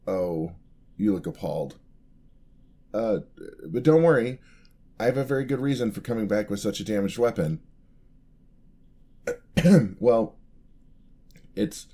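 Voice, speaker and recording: A man's voice: fairly low pitch, dark tone. A man playing a clumsy, earnest young paladin apprentice in a fantasy ASMR roleplay, with a hesitant, bashful, polite stammer. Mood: sheepish, then boastful.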